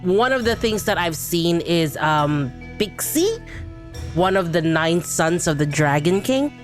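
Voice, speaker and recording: A woman's voice: lowish voice, lively intonation, unhurried, faint music behind. A woman from Brunei chatting casually as a podcast guest. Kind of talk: casual discussion.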